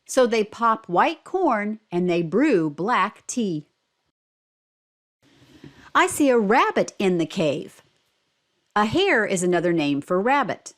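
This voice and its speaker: A woman giving scripted, instructional picture-book narration in an expressive, child-directed storytelling manner. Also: medium pitch, slow pace, pauses often. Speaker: read-aloud presenter for language teaching.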